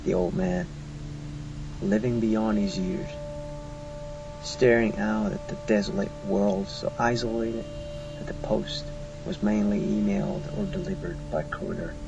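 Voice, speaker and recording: A man narrates an audiobook drama in a low voice with a heavy creak. The delivery is scripted and dramatic. The sound is a little dull, with music clearly playing behind him. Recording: muffled recording with music behind.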